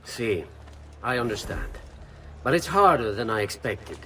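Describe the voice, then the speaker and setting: An actor playing a sly, nervous government official in a video game, smug and then defensive. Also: male voice, medium pitch, very animated, unhurried, fairly bright tone, slight background noise. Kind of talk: video game cutscene acting.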